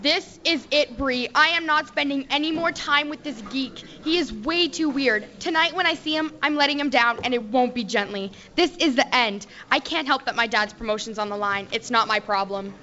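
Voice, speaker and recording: A woman's voice: sits high, normal speed, hardly any pauses, sharp tone, lo-fi sound, slight background noise. A teenage female student playing a cool, easily annoyed city girl in a live radio play. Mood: reluctant, exasperated. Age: teens.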